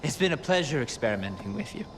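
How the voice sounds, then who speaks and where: An actor playing a giddy, reckless stoner in a video game, excitable and mock-pompous in manner. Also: male voice, medium pitch, quick pace, a little hiss or hum, natural voice. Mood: mock-ceremonial. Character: giddy, reckless drug-experimenting stoner man, mock-grand. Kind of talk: video game cutscene acting.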